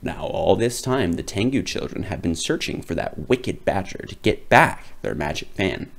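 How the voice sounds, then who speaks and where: A man reading a children's picture book aloud as a storyteller, with dramatic, drawn-out emphasis. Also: deep voice, animated, vocal fry, slight background noise.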